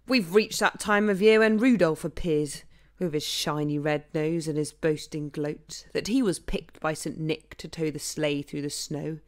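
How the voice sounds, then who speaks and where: A woman's voice: low voice, unhurried, darkish tone. A woman voicing a jealous, scornful male reindeer gossiping with his herd, in a storybook poem.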